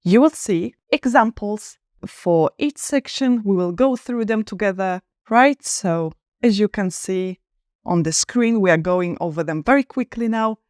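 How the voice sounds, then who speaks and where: A woman's voice: medium pitch, a little mellow, no room sound, studio quality. Upbeat woman teaching English. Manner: clear, upbeat and encouraging, with slow stressed examples. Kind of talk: online English lesson.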